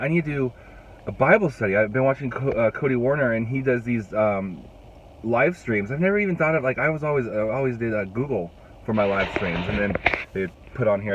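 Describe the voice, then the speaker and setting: A man's voice: lowish voice, fast talker, muffled recording, a little hiss or hum. Young man vlogging with a cold. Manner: rambling, restarts and fillers, chatty. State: congested, fighting a cold, voice rough. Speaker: youth pastor. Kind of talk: casual vlog to camera. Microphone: phone held at arm's length.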